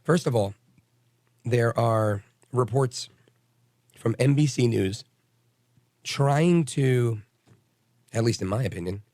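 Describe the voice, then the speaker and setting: A middle-aged man hosting a radio talk show, hoarse and raspy from bronchitis, blunt and combative as he rambles through his opinions. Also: medium pitch, expressive, takes it slowly, long pauses, darkish tone, no room sound, clean studio sound.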